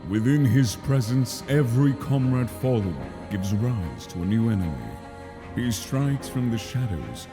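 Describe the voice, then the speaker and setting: A man's voice: medium pitch, expressive, a little slow. A man voicing a solemn, menacing video game boss announcer, narrating in a portentous, dramatic way. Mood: grand, menacing. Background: dramatic music.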